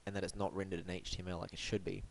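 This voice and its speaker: Male web review host, lowish voice, congested and sniffly, dry wit and mock-grand asides. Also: level tone, very fast, creaky. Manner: wry, theatrical, mock-formal. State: blocked up and sniffly from hay fever. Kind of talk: scripted web review monologue.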